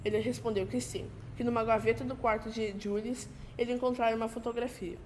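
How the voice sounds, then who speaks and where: Formal gentleman female performer, composed, deliberate, higher-pitched, 1940s-style radio play, slightly noisy. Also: steady tone, natural voice. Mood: composed, explanatory. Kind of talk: amateur 1940s-style radio drama acting.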